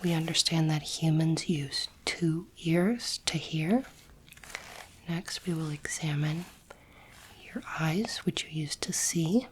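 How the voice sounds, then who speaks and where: A woman voicing a calm, clinical alien doctor in an ASMR roleplay, whispering softly in a measured, formal and soothing way. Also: sits low, a little hiss or hum. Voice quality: soft, breathy whisper. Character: calm clinical alien space doctor examining a human specimen.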